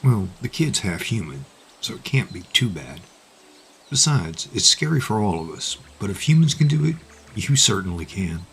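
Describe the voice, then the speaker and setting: Actor as earnest low-voiced man, widely swooping pitch. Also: big pitch swings, moderate pace, creaky, a bit bright, slight background noise, exaggerated voice. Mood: reassuring, teasing. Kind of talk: fantasy audio drama acting. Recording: music behind the voice.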